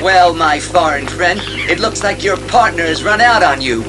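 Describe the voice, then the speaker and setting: A man's voice: high voice, lively intonation, normal speed, rough recording. A man playing a cocky, eager teenage boy sidekick with a brash, plucky manner in a superhero audio drama. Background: dramatic music.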